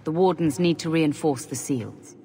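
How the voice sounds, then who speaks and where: A woman's voice: deep voice, normal speed, warm tone. An actress playing a formal, assured and zealous woman mage who leads a warden order in a fantasy game. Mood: grave, persuasive. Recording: long hall reverb, faint ambient noise.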